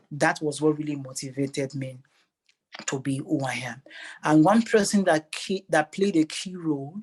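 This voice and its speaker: A man with a Nigerian accent speaking earnestly and emphatically as a motivational interview guest, repeating phrases for effect. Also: on the high side.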